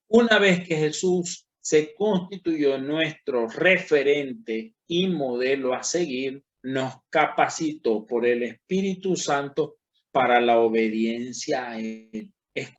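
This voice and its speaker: A man who is a pastor, teaching a Bible class in sermon style, slow and emphatic, rising on key words and speaking straight to his listeners. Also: fairly high pitch.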